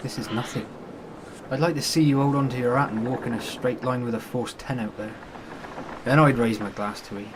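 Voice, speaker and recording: A man's voice: medium pitch, fairly even intonation, a little fast, background noise, close to an everyday voice. An actor playing a sardonic, resentful working-class fisherman with a blunt Cornish accent in a radio play. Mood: scornful, resentful. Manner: blunt, mocking, grumbling.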